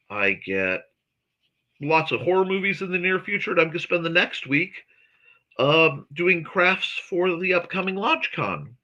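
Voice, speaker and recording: Lively, bright-voiced man chatting casually. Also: a little high, lo-fi sound. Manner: rambling, chatty, self-correcting. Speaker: host of a morning talk stream. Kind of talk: casual livestream chat, answering viewers.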